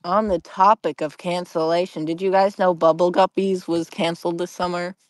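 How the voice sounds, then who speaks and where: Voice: medium pitch, flat delivery, normal speed, no room sound. A podcaster in casual group chat, bantering with playful mock indignation. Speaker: podcast regular.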